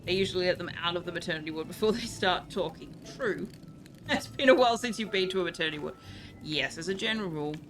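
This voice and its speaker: A woman's voice: on the low side, expressive, quick pace, a bit bright. An Australian woman streaming a game, reading item text aloud and chatting in a dry, sarcastic way. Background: game music.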